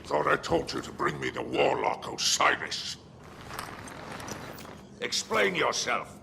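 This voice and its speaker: An actor playing a sly, menacing alien crime baron in a game cutscene, with a gravelly, mocking, theatrical delivery. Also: male voice, mid-range voice, wildly swooping pitch, background noise, full character voice. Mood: scornful, menacing. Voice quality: gravelly, creaking growl. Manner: drawn-out, sardonic, dropping to breathy asides. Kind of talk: dramatic video game cutscene acting.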